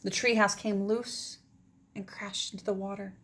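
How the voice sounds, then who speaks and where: Lady teacher reading a kids book out loud, dramatic, medium pitch, goes whispery sometimes. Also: level tone, normal speed, slight background noise. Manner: dramatic, often drops to whispers. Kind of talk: expressive children's storybook read-aloud.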